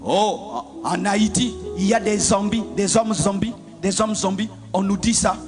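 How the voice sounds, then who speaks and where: Fervent high-pitched man preaching over music. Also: a little slow. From Democratic Republic of the Congo. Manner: fervent, repeats lines, half-sung shouting. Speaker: pastor. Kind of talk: shouted sermon.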